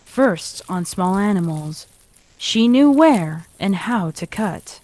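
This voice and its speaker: A woman's voice: mid-range voice, animated, slow pace, darkish tone, dry recording, rough recording. A woman reading a scripted horror fan fiction story as its narrator.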